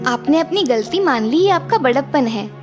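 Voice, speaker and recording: A woman voicing a gentle, forgiving young daughter-in-law in a cartoon story, soothing and respectful. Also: high-pitched, lively intonation, moderate pace, music in the background.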